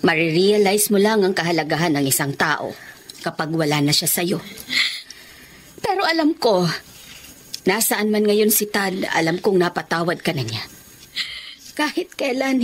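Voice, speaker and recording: Actress as caring mother, very animated pitch. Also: slight background noise, natural voice. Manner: earnest, emphatic, consoling. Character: caring, protective mother advising her grieving daughter. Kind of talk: Tagalog radio drama acting.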